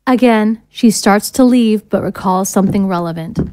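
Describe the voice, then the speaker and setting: Woman narrating scene directions, medium pitch. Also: moderate pace, dark tone. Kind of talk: scripted narration, screenplay directions.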